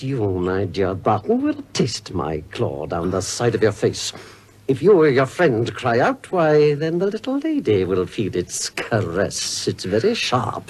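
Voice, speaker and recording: An actor playing a sadistic, menacing villain professor in a radio serial, speaking with relish and theatrical precision. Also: male voice, mid-range voice, breathy edge, heavily acted. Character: sadistic, silky-voiced male villain professor with a steel claw. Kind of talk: 1970s British radio serial acting. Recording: noisy radio drama with car sounds.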